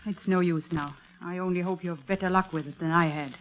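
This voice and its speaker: A bitter, weary woman, played by a radio actress in a 1940s suspense drama. Her voice is on the low side and her manner blunt and urgent. The old recording sounds muffled. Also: steady tone, a little fast, natural voice. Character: bitter, weary, warning woman who failed before.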